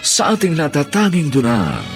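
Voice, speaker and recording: A man with a Filipino accent announcing a radio drama in theatrical, measured scripted narration. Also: fairly high pitch, huge pitch swings. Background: music.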